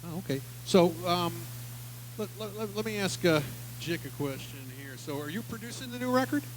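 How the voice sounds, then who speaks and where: A man hosts a relaxed interview with a band, his voice sitting a touch high. He asks questions in a chatty, wandering way. A faint hum runs under the recording. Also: moderate pace. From United States. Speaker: host. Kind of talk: casual interview.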